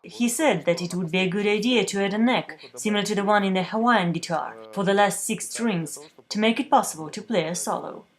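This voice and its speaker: A woman reading a translated news voice-over at a measured, steady pace, recorded in a booth. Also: mid-range voice. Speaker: voice-over interpreter for a news feature.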